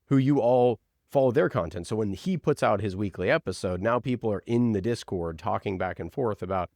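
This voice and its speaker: Low-voiced guy explaining stuff casually, kinda halting, thinking out loud, really dry close sound. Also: no echo. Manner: informal, halting, thinks aloud with examples. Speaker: interview guest, podcast producer. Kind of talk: conversational explaining.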